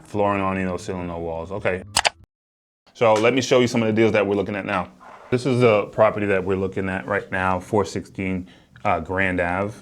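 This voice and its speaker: A man's voice: sits low, steady tone, brisk, slight background noise. A man with an American accent talking casually as a real estate developer and agent, explaining his work. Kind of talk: casual explanatory vlog talk.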